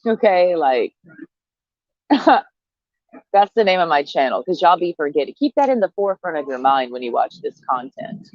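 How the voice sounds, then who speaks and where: A woman's voice: fairly low pitch, sing-song, normal speed, long pauses, dry recording, muffled recording. Brash profane woman in her thirties. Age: 30s. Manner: brash, profane, sarcastic, laughing often. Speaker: vlogger. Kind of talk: casual monologue to camera.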